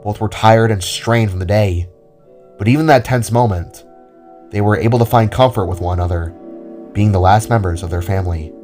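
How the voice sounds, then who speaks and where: Male narrator, low register, scripted storytelling, music bed underneath. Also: moderate pace, darkish tone. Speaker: narrator of an audio drama.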